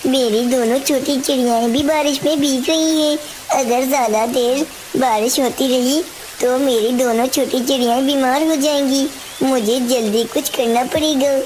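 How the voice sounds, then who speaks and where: A woman voicing a caring, hardworking mother bird in a cartoon story, with exclamatory, expressive delivery. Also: sits high, normal speed, close to an everyday voice. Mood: worried. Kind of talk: Hindi cartoon story dialogue. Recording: hissy, clearly noisy background.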